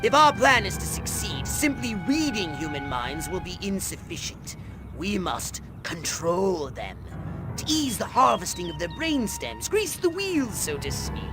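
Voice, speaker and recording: A man's voice: high-pitched, sing-song, unhurried. A man playing a pompous, scheming alien commander in a video game, speaking in a wordy, theatrical way. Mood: sly, lecturing. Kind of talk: video game cutscene acting. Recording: music behind the voice.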